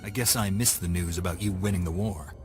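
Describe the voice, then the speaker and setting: Brief: a man as a confident young Jedi knight, deep voice, quick and wry, game music. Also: lightly acted. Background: game score music.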